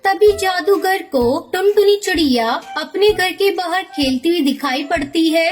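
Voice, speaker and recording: Very high-pitched woman storyteller. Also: a little slow, faint music behind. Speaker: narrator. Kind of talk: scripted children's story narration.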